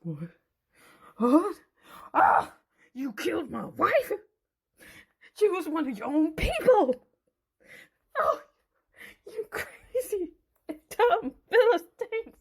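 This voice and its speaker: A woman's voice: very high-pitched, big pitch swings, extremely slow, pauses often, voice pushed up, heavily acted. A woman voicing a mighty, wrathful male hero in a dramatic, indignant Bible storybook dramatization. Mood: furious, anguished.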